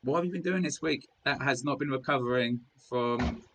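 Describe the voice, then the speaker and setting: A man with a British accent hosting a casual hobby chat, chatty and quick with jokes, laughing often. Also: medium pitch, fairly bright tone, poor audio. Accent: British English. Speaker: podcast host.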